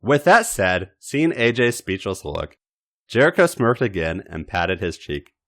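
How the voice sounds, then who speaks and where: Male podcast host and story reader. Low voice, extremely lively intonation, theatrical delivery of scripted erotica. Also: measured pace. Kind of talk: dramatic scripted narration of erotic fiction.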